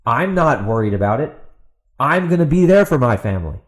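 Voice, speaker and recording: A man with a mid-pitched voice delivers an unscripted solo podcast rant. He sounds exasperated and sarcastic, repeating himself for emphasis and firing rhetorical questions at someone who is not there. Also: very animated, brisk. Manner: rhetorical questions put to an absent opponent. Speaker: podcast host and author. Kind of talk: ranting opinion monologue, off the cuff.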